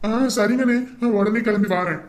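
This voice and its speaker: A woman's voice: mid-range voice, a little fast, natural voice. A woman playing a meek, obedient younger brother, a village farmer who speaks deferentially. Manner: deferential, apologetic. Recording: roomy, echoing sound.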